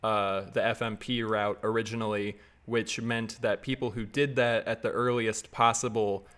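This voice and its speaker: A man's voice: lowish voice, flat delivery, normal speed, fairly bright tone, clean tone. A man in his twenties hosting a casual podcast chat over a video call, laughing often and tripping over his words. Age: 20s. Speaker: podcast host.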